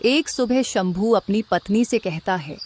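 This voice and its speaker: A woman's voice: medium pitch, lively intonation, a little slow, a little mellow. A woman reading scripted narration for a cartoon story, with faint noise in the background.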